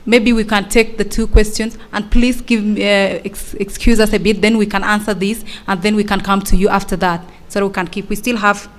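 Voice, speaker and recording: A woman's voice: medium pitch, little pitch movement, normal speed, few pauses. Polite woman moderating a panel. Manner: polite, stumbling restarts.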